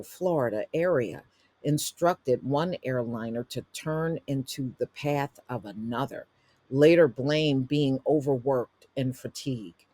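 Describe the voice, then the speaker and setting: A man reading a news article aloud as a presenter, in halting phrases. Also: medium pitch, darkish tone.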